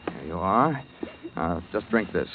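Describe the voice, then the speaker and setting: An actor playing a wry, hard-boiled insurance investigator in 1940s radio drama style, clipped, brisk and sardonic. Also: male voice, low voice, expressive, background noise. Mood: firm, calming. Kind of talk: 1940s radio detective acting. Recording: tinny vintage 1940s radio broadcast.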